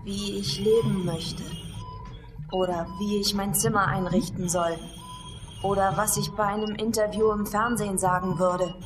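An actress as a young female athlete with a medium-pitched voice, matter-of-fact and terse. She gives deadpan radio-play answers over clearly audible music. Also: normal speed, natural voice. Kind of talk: German radio drama acting. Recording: music behind the voice.

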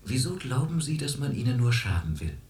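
An actor playing a cool, condescending clinic doctor who questions in a probing, patronizing way in a radio drama. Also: male voice, mid-range voice, big pitch swings, fairly quick, darkish tone, roomy sound, close to an everyday voice.

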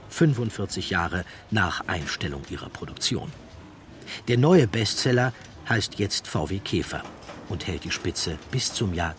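Low-voiced male narrator. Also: a little hiss or hum. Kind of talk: scripted documentary narration.

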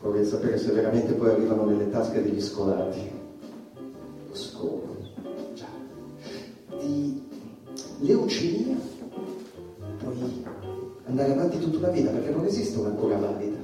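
A man playing an irreverent, provocative stand-up satirist, delivering a sardonic stage monologue with emphatic pauses. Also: mid-range voice, expressive, lightly acted. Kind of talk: theatrical stand-up comedy monologue. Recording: echoey stage, distant mic, music behind.

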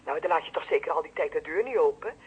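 A woman's voice: sits low, quick pace, breathy edge. An actress playing a watchful, deferential female neighbour in a radio play, hesitant yet insistent, with a Netherlandic Dutch accent, heard through a telephone filter. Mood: worried, insistent. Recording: muffled, band-limited telephone line.

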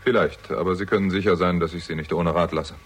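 An actor playing a jaded, hard-drinking theatrical agent with a conscience, sardonic and world-weary, in a 1960s German radio crime drama. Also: male voice, low voice, steady tone, fast talker, breathy edge. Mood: bitter, sarcastic.

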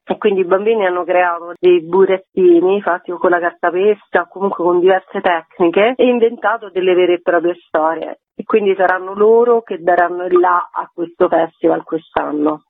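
A woman's voice: on the low side, normal speed, few pauses, dry recording. A woman speaking as a guest festival curator in a radio interview, explaining her project. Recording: tinny phone line on radio.

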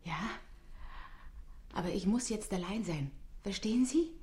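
A woman playing a character consumed by secret love in a poetic radio play, intense and declamatory, moving between hush and outburst. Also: medium pitch, expressive, unhurried, close to an everyday voice. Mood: hushed, urgent.

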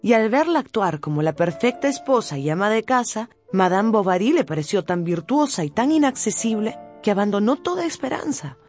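A woman's voice: medium pitch, a little fast, few pauses. Woman narrating a radio novel over music. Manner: expressive, storytelling.

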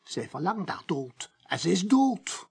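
Zealous priest, very husky, gravelly creak, halting, preachy, radio drama. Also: male voice, high-pitched, big pitch swings, darkish tone, heavily acted. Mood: fervent, accusing. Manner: halting, repeats words, turning vehement and preachy. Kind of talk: 1980s radio play acting.

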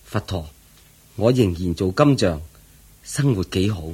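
An actor playing a humble, devoted goldsmith in a radio drama, speaking respectfully and eagerly. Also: male voice, low voice, expressive, a little slow, darkish tone, slightly rough, exaggerated voice. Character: humble, devoted male goldsmith offering food to his master. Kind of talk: Cantonese radio drama acting.

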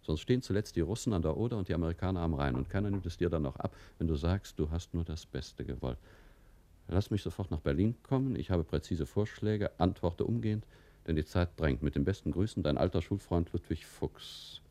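An actor playing a jovial but self-protective mailroom clerk in a wartime radio drama, with a chatty, colloquial, cajoling manner. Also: male voice, deep voice, expressive, fairly quick, mellow. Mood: reading aloud. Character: jovial, self-protective male Nazi-era Berlin mailroom clerk, family man.

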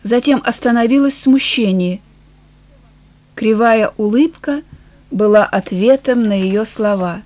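High-voiced woman narrating a novel. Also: a little slow, darkish tone, no echo. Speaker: audiobook narrator.

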